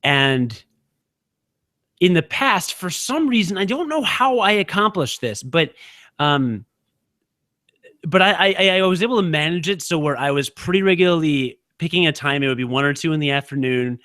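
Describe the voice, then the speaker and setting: A man in his thirties co-hosting a podcast, chatting casually in a quick, halting way full of fillers. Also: on the high side, lively intonation, pushed and bright, no room sound, clean studio sound. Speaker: podcast co-host. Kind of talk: casual chat.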